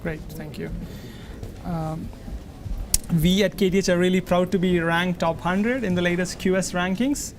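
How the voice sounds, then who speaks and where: A man in his thirties hosting a university webinar in a large library hall, friendly and measured with small fillers. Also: high voice, fairly even intonation, a little hiss or hum. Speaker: host.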